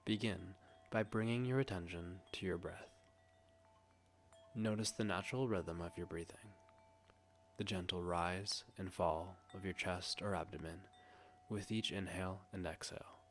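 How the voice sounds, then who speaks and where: A man leading a scripted guided meditation, speaking gently and soothingly in a hushed way with long pauses. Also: sits low, fairly even intonation, a little hiss or hum.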